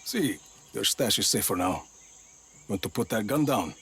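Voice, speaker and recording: A man's voice: fairly low pitch, animated, normal speed, fairly bright tone, husky, exaggerated voice. An actor playing a terse, dry male action hero in a game cutscene. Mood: laconic. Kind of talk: video game cutscene voice acting.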